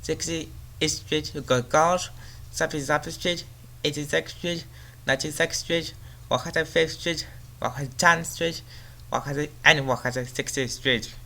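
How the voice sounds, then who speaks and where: A man delivers a transit service announcement as a train announcer, reading out information and stops. His voice sits a little high and has a clearly bright, forward edge. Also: fairly even intonation, unhurried. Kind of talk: subway service announcement, reading station lists.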